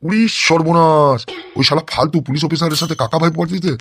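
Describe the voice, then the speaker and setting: A man's voice: a little high, fairly even intonation, quick pace, fairly bright tone. A man voicing a crude, bullying, lecherous gangster politician in a comic cartoon, blustering and scolding. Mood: furious. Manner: blustering, scolding, constantly swearing.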